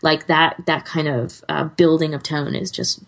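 A woman's voice: sits low, steady tone, a little slow, creaky. A woman co-hosting a podcast in casual conversation, rambling and often restarting her sentences. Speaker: podcast co-host.

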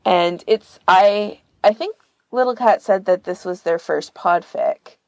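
A woman's voice: mid-range voice, a little slow, gravelly, no echo. A woman podcast co-host chatting casually while she gives recommendations. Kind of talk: casual chat, giving recommendations.

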